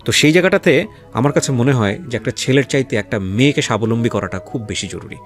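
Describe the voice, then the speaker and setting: A man's voice: mid-range voice, fast, faint music behind. A man hosting a life-story radio show, earnest and preachy as he frames the guest's story.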